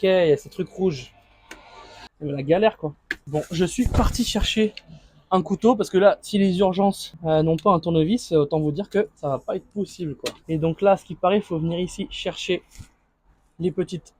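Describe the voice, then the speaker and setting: A man's voice: sits high, darkish tone, husky. A man speaking informally as a vlogger, thinking aloud through an improvised unboxing and installation commentary. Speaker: motorcycle vlogger.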